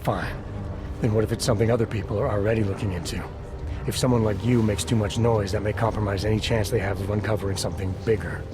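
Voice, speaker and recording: An actor playing a controlled, coolly probing male agent in a video game, with clipped, deadpan questioning. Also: lowish voice, fast talker, over music, lightly acted. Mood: firm, stern.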